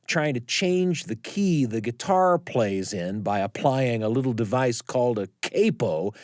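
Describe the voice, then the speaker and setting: A man narrating a scripted story as a host, using dramatic pauses to build suspense. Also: mid-range voice, a little mellow, dry recording, clean studio sound.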